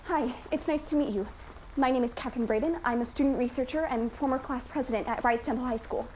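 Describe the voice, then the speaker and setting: A sceptical, overachieving schoolgirl, played by a woman in a radio drama. Her voice sits on the high side, with faint ambience behind it. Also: fast talker, a little mellow, slight background noise, lightly acted. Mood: nervously polite. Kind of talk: scripted radio drama acting.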